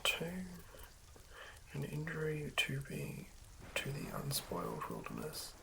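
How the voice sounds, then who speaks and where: A hushed ASMR host whispers at a slow pace with a creaky edge. The delivery is halting and unscripted, like someone thinking aloud while working through notes. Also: medium pitch, wildly swooping pitch, rough recording. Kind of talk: whispered ASMR, unscripted character-building commentary.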